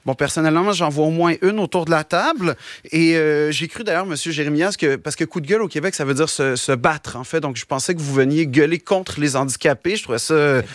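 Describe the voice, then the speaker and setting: A Québécois man reading a comic radio column in a dry, close studio sound. His voice sits a touch high, and his delivery is deadpan and self-mocking, broken up by hesitant fillers. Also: a little fast, no echo. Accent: Québécois French. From Quebec. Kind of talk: scripted comic chronicle. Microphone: studio microphone.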